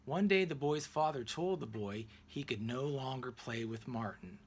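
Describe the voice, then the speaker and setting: A man reading a children's picture book aloud expressively, in deliberate phrases with emphatic pauses. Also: medium pitch, a little hiss or hum. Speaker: read-aloud narrator.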